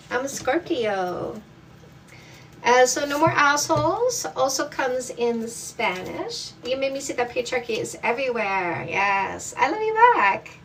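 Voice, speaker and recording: A woman's voice: high voice, fairly bright tone, distant mic, slight background noise, low-quality recording. A woman dating coach from Canada hosting a live stream, reading viewer comments aloud in an upbeat, affectionate way.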